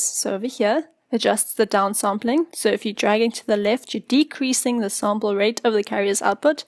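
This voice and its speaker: A woman narrates a software tutorial in a fairly high voice. She explains each step informally, and the recording is close and dry, with very little room sound. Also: dry recording. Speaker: tutorial presenter.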